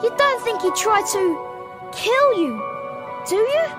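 A wide-eyed, anxious young schoolboy in a game. The voice is very high and slow, under loud music. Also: female voice, big pitch swings, full character voice. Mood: frightened, awed. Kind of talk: dramatic game cutscene dialogue.

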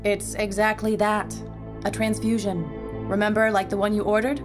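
An actress playing a defensive, sardonic hospital nurse in a horror audio drama, pointed and matter-of-fact. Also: female voice, medium pitch, flat delivery, normal speed, over music, close to an everyday voice.

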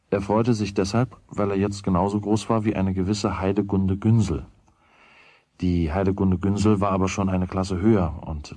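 An actor playing the storyteller, narrating a German children's radio play from a script. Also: male voice, low voice, warm tone, dry recording. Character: male storyteller of a children's tale.

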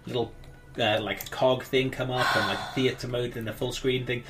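A man's voice: mid-range voice, fairly even intonation, moderate pace, over loud music. A man in his thirties, English-born and raised in Wales, co-hosting a game stream with rambling, joking chat. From England, raised in Wales. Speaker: co-host. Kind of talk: casual let's-play chat.

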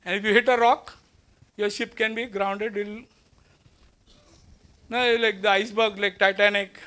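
A man's voice: high-pitched, level tone, measured pace, bright, forceful tone, smooth. A man in his fifties with an Indian English accent, giving a conversational lecture to students in a large auditorium, asking them rhetorical questions and mixing in Hindi, recorded on a lapel mic. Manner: walks the stage gesturing while speaking. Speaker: guest lecturer.

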